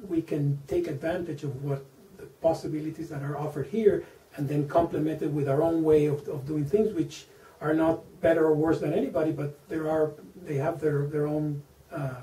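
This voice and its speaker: A man's voice: fairly high pitch, mellow. Hesitant Venezuelan-accented man, echoey room. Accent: Venezuelan Spanish. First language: Spanish. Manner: hesitant, many fillers and repeated words. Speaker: interview guest, engineer. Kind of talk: interview answers. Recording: echoey room, mic at a distance.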